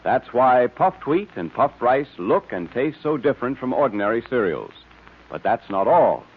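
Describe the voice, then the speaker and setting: Animated, husky vintage radio announcer man. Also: mid-range voice. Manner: emphatic, salesmanlike. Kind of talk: scripted 1940s radio advertising and narration. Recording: tinny narrowband old radio recording.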